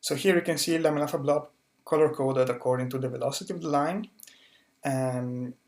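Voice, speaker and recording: A young man presents a recorded research talk in a middle-pitched voice. He speaks carefully and methodically, often restarting and repeating words as he moves from slide to slide. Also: a little fast, a little mellow. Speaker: conference speaker, astrophysics researcher.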